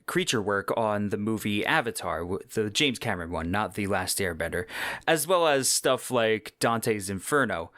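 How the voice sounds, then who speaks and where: A man's voice: lowish voice, fairly bright tone, no echo. Man hosting in a dry, close recording. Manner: enthusiastic, informal. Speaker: podcast host. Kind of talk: casual solo podcast commentary.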